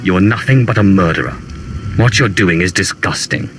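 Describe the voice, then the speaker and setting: A man voicing a stern, commanding and principled dark lord in a video game, with theatrical delivery. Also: sits low, moderate pace, soft background music. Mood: disapproving. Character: male Sith lord, former slave, principled and commanding.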